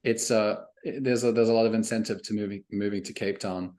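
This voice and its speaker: A young man from South Africa with a mixed South African and Canadian accent, telling his story as a podcast guest in a relaxed, laid-back way. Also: lowish voice, fairly quick. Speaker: guest, email marketing coach. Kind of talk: casual interview chat.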